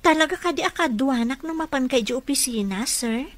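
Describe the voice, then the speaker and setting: An actress playing a respectful employee and aunt in an Ilocano radio drama, with an Ilocano accent. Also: female voice, sits high, expressive, brisk. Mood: deferential, surprised.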